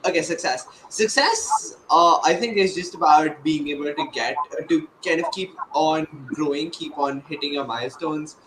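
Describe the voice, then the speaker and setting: A man's voice: fairly high pitch, moderate pace, hardly any pauses. A man giving casual, informal panel answers, often correcting himself. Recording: noisy online call.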